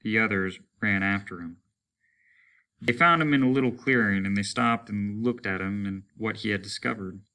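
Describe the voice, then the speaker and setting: A man's voice: lowish voice, moderate pace, sharp tone, clean tone. A man, a teacher, reading a story aloud in dramatic audiobook-style narration.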